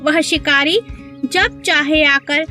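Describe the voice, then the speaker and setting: A woman's voice: high-pitched, slow pace, over music, pitched above the performer's own voice. A woman voicing a worried, gloomy parrot in a children's story.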